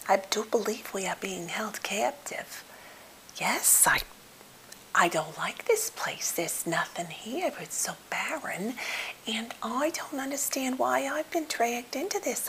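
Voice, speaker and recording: A woman acts out an anxious lady therapist who is a bit off but eager to help. She whispers breathily and takes her time, halting often and staying fussily polite. It is an ASMR role play, with a light hiss on the recording. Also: on the high side, big pitch swings, sharp tone, heavily acted. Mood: anxious, disapproving. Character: anxious, well-meaning, slightly off woman therapist held captive. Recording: hissy, noisy home recording.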